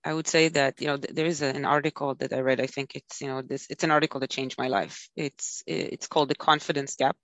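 A woman's voice: rumbling low, flat delivery, fast talker, few pauses, fairly bright tone, no echo. A woman speaking as a panelist in an earnest, conversational way, often saying "you know". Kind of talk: panel discussion.

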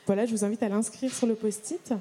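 A woman's voice: on the high side, steady tone, fast talker, warm tone. A young woman moderating a conference, speaking casually through a handheld mic with many fillers and restarts. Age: young adult.

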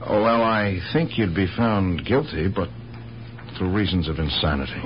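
An actor playing a calm, regretful police lieutenant in a radio drama, questioning in a measured, sympathetic way. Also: male voice, low-pitched, natural voice. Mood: regretful, probing. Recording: muffled, hissy old radio broadcast.

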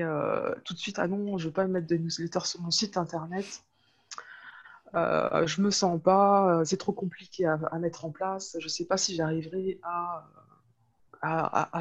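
A woman's voice: fairly low pitch, steady tone, normal speed, breathy edge, dull audio. A woman speaking as a podcast guest, telling her own story casually in a chatty way, often searching for her words. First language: French. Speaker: podcast guest, web entrepreneur. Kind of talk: casual interview, personal storytelling.

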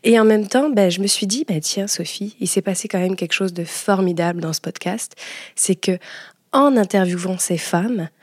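A woman speaks as a podcast host, sharing a personal story. Her voice sits at an average pitch for a woman, and her delivery is expressive and confiding. The sound is dry, with hardly any room in it. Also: moderate pace, no echo.

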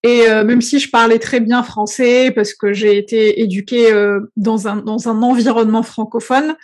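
Reflective Algerian-born woman, higher voice. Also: fairly even intonation, moderate pace, a little mellow. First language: not French. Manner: reflective, filler-heavy, often says "tu vois". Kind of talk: casual conversation.

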